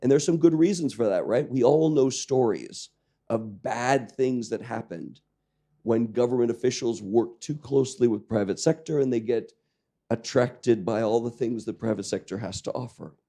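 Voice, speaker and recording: A man with a croaky voice giving a lecture to a training class, joking and questioning the audience while clearing his throat. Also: mid-range voice, moderate pace, mellow. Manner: jokey, engaging the audience with questions. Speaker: lecturer on public-private partnerships. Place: lecture room with live audience.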